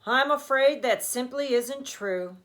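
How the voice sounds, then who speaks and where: A woman's voice: high-pitched, slow pace, a bit bright, voice pushed up. A woman voicing a pessimistic old storybook grandfather who speaks bluntly and firmly. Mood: resigned, blunt.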